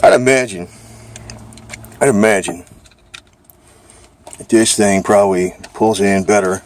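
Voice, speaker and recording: A man's voice: fairly low pitch, measured pace. A man giving an unscripted product demo in a casual, rambling, informal way with the odd mild swear. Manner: rambling, informal, mildly profane. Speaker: gear reviewer. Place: under a makeshift car garage. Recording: noisy outdoor handheld recording, radio hiss.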